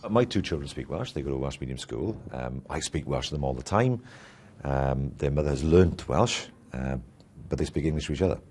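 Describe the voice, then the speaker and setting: A man's voice: extremely low pitch, animated, fairly quick, darkish tone. A father answering interview questions, hesitant with frequent ums and uhs. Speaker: interviewed parent.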